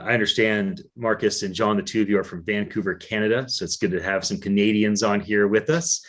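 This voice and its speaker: A man hosting a live-streamed interview, friendly and effusive with his guests. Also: fairly low pitch. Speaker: event co-producer and host.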